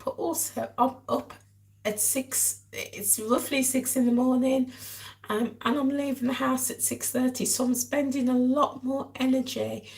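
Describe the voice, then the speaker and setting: A woman with a hoarse, croaky voice from a cold, chatting casually to live viewers as a vlog host. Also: sits high, rough recording. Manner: chatty, addresses viewers directly. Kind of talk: casual live-stream chat.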